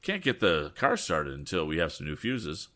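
A man's voice: extremely low pitch, huge pitch swings, moderate pace, pushed and bright, full character voice. A man voicing a practical, slightly testy storybook balloonist in an assertive, matter-of-fact way. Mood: nettled.

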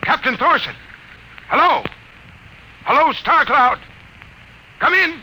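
An actor playing an anxious, dutiful military radio sergeant, hesitant and deferential, in 1950s radio drama style. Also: male voice, extremely high pitch, expressive, takes it slowly, exaggerated voice. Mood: alarmed. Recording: noisy old radio recording.

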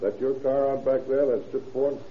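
An actor playing a probing, wry and stern American police lieutenant in an old-time radio detective drama, controlled and authoritative. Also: male voice, mid-range voice, fairly even intonation, normal speed, lightly acted. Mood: casual probing. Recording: tinny, noisy vintage radio recording.

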